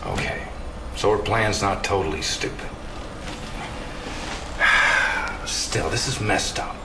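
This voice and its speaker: An actor playing a cynical but loyal military officer in a video game cutscene, with a colloquial, indignant way of talking. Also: male voice, low voice, takes it slowly, heavy background noise. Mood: sardonic.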